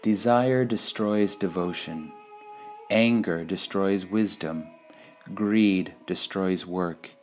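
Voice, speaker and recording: Male reader, deep voice, unhurried and deliberate delivery of spiritual quotations, music underneath. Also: darkish tone. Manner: measured, deliberate, with pauses between phrases. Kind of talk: slow devotional quote reading.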